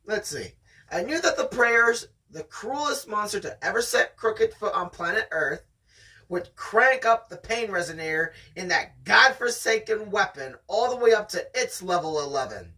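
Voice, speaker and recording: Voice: medium pitch, lively intonation, normal speed, pushed and bright, far from the mic, poor audio. A host reading a novel aloud in an amateur, casual storytime style, chatting along the way.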